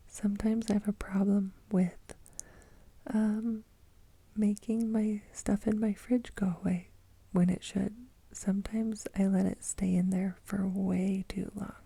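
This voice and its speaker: A woman's voice: mid-range voice, creaky, dark tone, slightly rough, dry recording. A woman in her thirties from the United States, whispering an ASMR story about her kids in a rambling, self-amused way. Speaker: mother telling a story.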